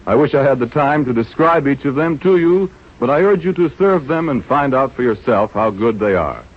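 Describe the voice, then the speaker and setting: A man announcing on 1930s radio, in a polished, measured, persuasive sponsor's style. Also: fairly high pitch. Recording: dull old radio recording.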